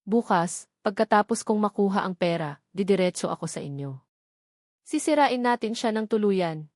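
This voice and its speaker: A woman's voice: medium pitch, expressive, moderate pace, a little mellow, no echo, close to an everyday voice. Woman voicing a scheming male Filipino blackmailer, dry sound. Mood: conspiring.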